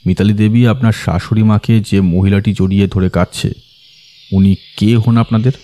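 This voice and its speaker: Low, dark-voiced courteous detective played by an actor. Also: male voice, steady tone, natural voice. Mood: polite. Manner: polite, measured, probing. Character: composed, courteous male private detective questioning witnesses. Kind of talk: scripted audio drama acting.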